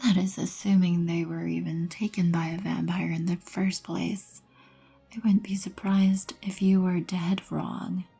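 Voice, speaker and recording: Vampire queen, female actor, ASMR roleplay: slow, mellow, breathy near-whisper, haughty, poised and composed. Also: fairly low pitch, level tone, faint music behind, heavily acted. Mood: wry, imperious. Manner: poised, haughty, measured, soft-spoken. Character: regal, composed female vampire queen, proud and wryly merciful.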